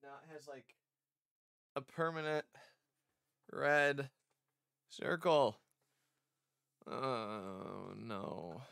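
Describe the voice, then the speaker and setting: A man's voice: mid-range voice, steady tone, extremely slow, vocal fry, long pauses, fairly bright tone, smooth, no echo, studio quality. A man casually reading a visual novel aloud as he streams it, rambling off into chatty asides. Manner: rambling, chatty asides between read lines. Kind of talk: casual game playthrough commentary with read-aloud dialogue.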